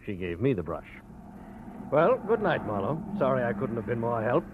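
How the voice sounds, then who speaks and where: A man's voice: mid-range voice, big pitch swings, moderate pace, noisy background, lightly acted. An actor playing a cool, mocking male nightclub gangster in a 1940s radio drama. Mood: cold. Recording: tinny phone-like old radio recording.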